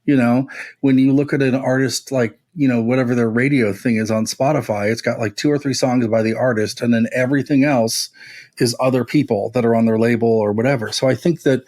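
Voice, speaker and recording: A man's voice: mid-range voice, fairly even intonation, brisk, a little mellow. A man co-hosting a podcast, explaining his views on music in a casual, analytical way. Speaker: podcast co-host.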